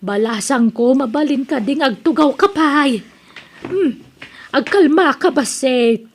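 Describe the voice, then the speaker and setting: A woman acting as a worried mother who tries to calm her daughter and asks what is wrong. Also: high-pitched, takes it slowly, heavily acted. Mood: soothing. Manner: coaxing, pleading for calm. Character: worried middle-aged mother, gentle and concerned. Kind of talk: Ilocano radio drama acting.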